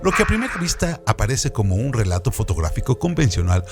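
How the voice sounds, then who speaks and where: A man's voice: mid-range voice, very animated, quick pace. A man reading a scripted literary essay in a formal, didactic manner, as a radio presenter. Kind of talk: scripted literary essay narration with question hooks. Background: background music.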